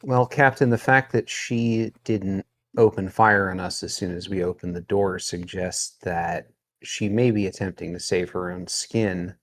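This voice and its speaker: A man's voice: sits low, fairly even intonation, a little slow, fairly bright tone. A man with a dry, sardonic manner, bantering in character as a player in a tabletop game.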